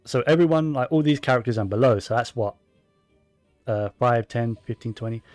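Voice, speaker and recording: A man's voice: mid-range voice, brisk, a little mellow, dry recording, soft background music. A man giving casual, rambling commentary while playing a video game, with plenty of fillers and restarts. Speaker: gaming streamer.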